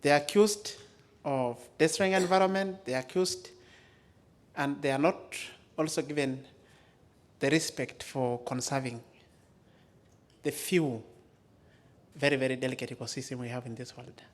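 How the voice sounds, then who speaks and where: A man with an East African accent and Maa as his first language gives a storytelling speech as a community speaker, in short, deliberate, emphatic phrases. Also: mid-range voice. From Maasai areas of Kenya and Tanzania.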